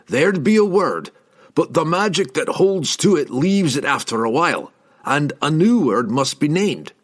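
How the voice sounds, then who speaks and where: A man voicing a gruff, stubborn male dwarf in a storybook reading, with a growling delivery. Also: a little high, sing-song, unhurried, voice pushed up.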